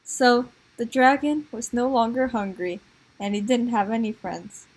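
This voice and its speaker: A woman improvising comic stories for a puppet show in a giggly, halting way. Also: on the high side, steady tone, a little hiss or hum, poor audio. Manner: giggly, halting, making it up as she goes.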